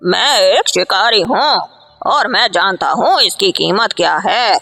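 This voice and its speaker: A woman plays a shrewd, grasping hunter from a cartoon tale, a man pressing a bargain. The voice is mid-pitched and slow, over faint music. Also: animated, vocal fry, sharp tone, exaggerated voice. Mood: calculating. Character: shrewd, grasping male hunter striking a deal.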